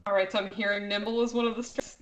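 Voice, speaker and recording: A woman speaking casually as a game master, chatty and quick to laugh as she guides her players. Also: mid-range voice, little pitch movement, background noise. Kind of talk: casual improvised game hosting.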